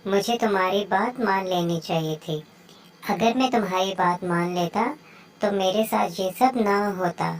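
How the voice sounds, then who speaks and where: A woman's voice: medium pitch, measured pace, natural voice. A woman voicing an eager, playful young bird child in a children's moral story cartoon. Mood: apologetic.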